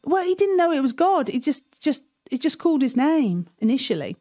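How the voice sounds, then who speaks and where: Woman host for kids' story time, slightly higher pitch, chatty and giggly. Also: sing-song, brisk, mellow. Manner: chatty, giggly, retelling stories.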